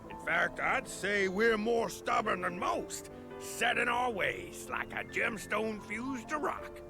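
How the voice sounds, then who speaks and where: A man's voice: high voice, sing-song, slow, gravelly, full character voice. A man voicing a folksy, easygoing villager storyteller in a video game cutscene, with a drawl. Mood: folksy explaining. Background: background music.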